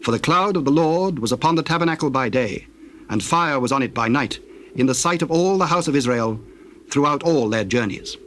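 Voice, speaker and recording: A man narrates scripture with solemn, deliberate phrasing. His voice sits at a middle pitch, with faint noisy ambience and birds behind it. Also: lively intonation, a bit bright. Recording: faint noise under the voice.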